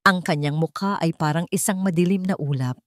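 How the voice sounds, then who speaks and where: A woman's voice: lowish voice, a little fast, fairly bright tone, no room sound, studio quality. A woman narrator reading a scripted romance story in a dramatic storytelling style.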